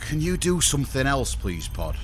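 A man's voice: mid-range voice, sing-song, normal speed, crowd noise, lightly acted. An actor playing an awkward, lovestruck crewman in a sci-fi comedy audio drama, hesitant and stammering. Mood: exasperated. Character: awkward, bashful, lovestruck male space-pod crewman.

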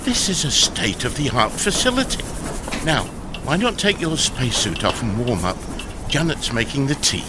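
A man's voice: medium pitch, very animated, normal speed, close to an everyday voice. A man playing a deluded, awkwardly cheerful would-be rescuer in a sci-fi audio comedy, blandly upbeat. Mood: upbeat, reassuring. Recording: clear outdoor ambient noise behind voice.

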